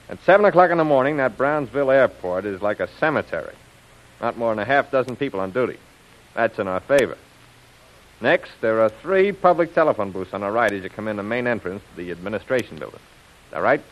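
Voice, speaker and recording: A man's voice: lowish voice, lively intonation, fairly quick, natural voice. An actor playing a scheming, cocky gangster henchman with slangy, conspiratorial tough talk in a 1930s radio serial style. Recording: muffled old radio recording.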